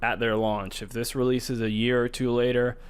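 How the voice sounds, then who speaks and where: A man's voice: medium pitch, level tone, moderate pace, clean tone. A man co-hosting a gaming podcast, talking things over in a casual, conversational style. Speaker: podcast co-host.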